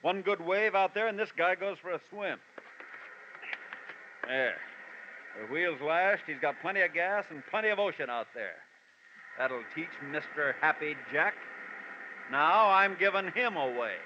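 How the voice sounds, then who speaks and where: A man's voice: fairly high pitch, animated, a little slow, pauses often, noisy background. An actor playing a scheming, menacing male crook in a 1950s radio drama, snarling and bossy. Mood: cold. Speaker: villain. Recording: dull old radio recording.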